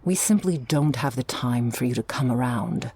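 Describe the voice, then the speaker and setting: An orca girl from an audio roleplay, voiced by a woman, earnest and world-weary. The voice is notably husky and breathy. She talks gently, in a measured way. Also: very deep voice, lively intonation, exaggerated voice. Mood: earnest pleading. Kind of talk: ASMR audio roleplay acting.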